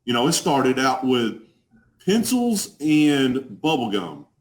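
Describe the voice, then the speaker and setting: A man's voice: mid-range voice, sing-song, takes it slowly. Texas-accented man telling his story. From Dallas, Texas. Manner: earnest, plain-spoken, repeats words as he starts. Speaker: guest in recovery. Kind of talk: personal story in a video call interview. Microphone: video call webcam.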